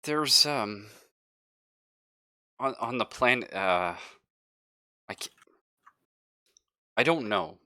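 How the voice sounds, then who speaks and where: A man playing a nervous, evasive younger space crew survivor in a tabletop game, speaking haltingly with stammers. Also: mid-range voice, expressive, bright, forceful tone, no echo, clean studio sound, voice pushed up, heavily acted. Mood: uneasy, halting.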